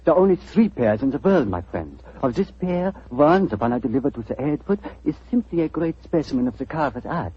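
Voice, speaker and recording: A fussy old German antique dealer, stammering in broken English with a thick accent. His husky voice leaps up and down as he talks. It comes through a dull 1940s radio broadcast. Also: medium pitch, sing-song, a little fast, few pauses. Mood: proud. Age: older.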